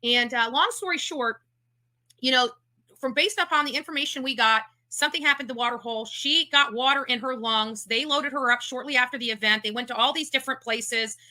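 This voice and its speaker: Fast, forceful woman commentator. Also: high voice, rough recording. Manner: emphatic, accusatory, repeats points. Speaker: online case commentator. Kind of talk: livestream commentary.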